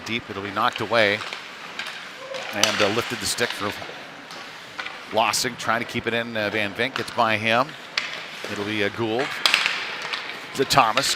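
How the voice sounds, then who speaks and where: A man doing live hockey play-by-play commentary in a halting delivery with mid-sentence pauses. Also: lowish voice. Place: indoor ice rink during a game. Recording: noisy rink sound behind the voice. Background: clear venue noise.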